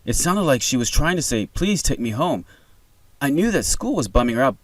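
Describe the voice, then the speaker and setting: A man's voice: medium pitch, sing-song, moderate pace, no echo, voice pushed up, poor audio. A man reading the part of an anxious, urgent wife and mother on the phone in a screenplay. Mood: agitated. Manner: urgent, insistent.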